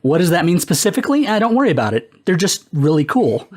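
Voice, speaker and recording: A man's voice: high voice, sing-song, fast. A man chatting casually as a debater on a comedy podcast. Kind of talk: casual chat.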